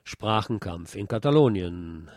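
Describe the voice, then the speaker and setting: A man's voice: on the low side, expressive, a little slow, over loud music. A man hosting a radio magazine, reading scripted news reports in a presenter's role. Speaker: radio host and presenter, also an author.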